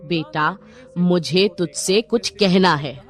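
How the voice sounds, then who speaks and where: A woman's voice: on the low side, slow pace. Woman acting a dramatic Indian mother-in-law, music behind. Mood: remorseful, tender. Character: sharp-tongued middle-aged Indian mother-in-law, taunting and dramatic. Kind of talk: Hindi animated moral story dialogue.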